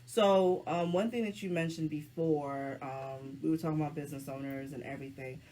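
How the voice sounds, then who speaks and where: A woman hosting a casual live talk show, hoarse from the flu, with a deep voice and a chatty, slangy way of addressing her viewers. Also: fairly quick, mellow, poor audio. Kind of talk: casual live-stream chat.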